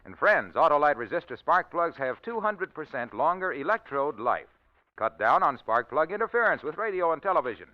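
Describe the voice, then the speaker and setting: A man delivering an emphatic, salesman-like sponsor pitch as a 1940s radio announcer. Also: sing-song, fairly quick. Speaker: commercial announcer. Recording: dull old radio recording.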